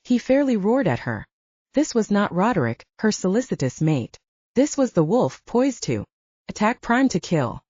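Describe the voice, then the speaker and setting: A woman's voice: medium pitch, sing-song, normal speed, mellow, no room sound, muffled recording. A woman reading a scripted audiobook story as its narrator.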